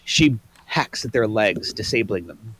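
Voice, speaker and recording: Slow-paced male narrator. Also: lowish voice, lively intonation, a bit bright, breathy edge. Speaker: narrator reading stage directions. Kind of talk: scripted narration for a table read.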